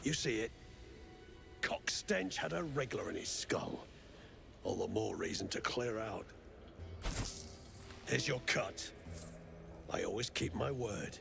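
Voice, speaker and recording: A foul-mouthed dwarf mercenary chief in a video game, all gravel and grit, rough and bullish. His delivery is slow and drawn out, the pitch swinging widely as he curses, with a steady hiss of noise in the game audio behind him. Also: music in the background, exaggerated voice. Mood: gruff. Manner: coarse, swearing, bullish.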